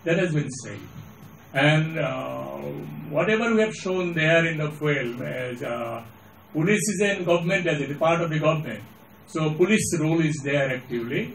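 A mature man with an Assamese Indian accent answering questions as a panelist in an auditorium, deliberate and halting, repeating points for emphasis. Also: on the high side, expressive, gravelly, fairly bright tone. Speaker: panelist, filmmaker. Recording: echoey, distant, faint background noise.

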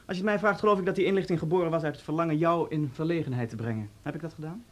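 An actor in a Dutch radio play voicing a suave, talkative police officer with an urbane, polite manner and sly menace. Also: male voice, fairly high pitch, rapid-fire, fairly bright tone, lightly acted. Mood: genial, dry.

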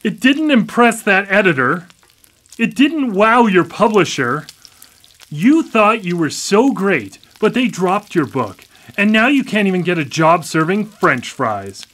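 A man's voice: high voice, sing-song, measured pace, a bit bright, exaggerated voice. A male actor in a radio play playing a dependent, easily rattled brother with a hesitant, emotional delivery. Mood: taunting. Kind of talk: live radio play acting.

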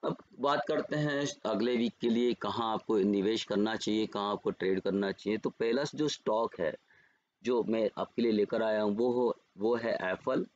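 A man with an Indian accent giving trading levels over charts as a market educator, unhurried and pausing often to correct himself. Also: lowish voice, lo-fi sound. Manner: unhurried, pauses and self-corrects while reading levels. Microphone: screen recording voice-over.

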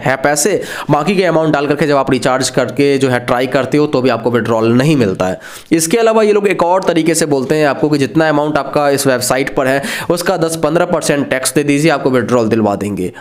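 A young man on a lapel mic in a small indoor studio, explaining an online scam straight to the camera in an earnest, conversational warning tone. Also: medium pitch. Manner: earnest, warning, conversational Hindi-English mix. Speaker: scam-awareness YouTuber. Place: small indoor studio with star-light backdrop.

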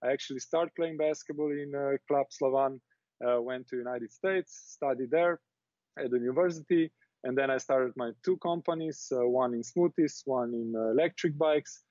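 A man's voice: mid-range voice, normal speed, a little mellow, dry recording. A man in his thirties from Slovenia, a native Slovene speaker, answering interview questions over a video call in a hesitant way with many fillers. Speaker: basketball club president, interview guest. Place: small office indoors. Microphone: earbuds on video call. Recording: dry lo-fi video call audio.

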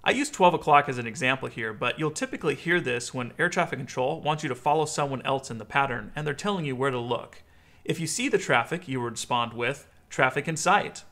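An American man in his thirties giving a clear instructional explainer as a video host. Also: medium pitch, lively intonation, fairly quick, sharp tone.

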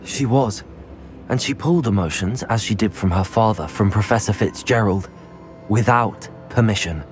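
A man's voice: lowish voice, normal speed, faint music behind, lightly acted. An actor playing an earnest young wizard student who reports urgent news to his elders.

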